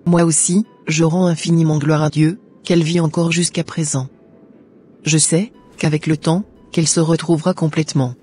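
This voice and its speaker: Man voicing a husky, mellow devout daughter. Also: fairly high pitch, level tone, moderate pace. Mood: grateful. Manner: earnest, prayerful. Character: devout, worried daughter of a sick mother. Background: faint music.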